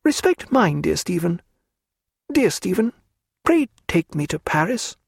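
A proud, desperate young aristocratic woman, put on by a man reading a story, her voice lifted high and swinging widely as she pleads. The reading is dry and close, with no room around it. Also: big pitch swings, measured pace, gravelly, husky, no echo, full character voice. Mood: pleading. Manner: imploring, high-strung, upper-class.

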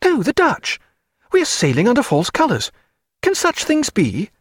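A timid, fussy chaplain, as a male narrator puts him on, a man of peace caught in a sea battle. His voice climbs high and flutters anxiously with a very lively pitch. The recording is close and dry. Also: moderate pace, slightly rough, no room sound, heavily acted. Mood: fretful.

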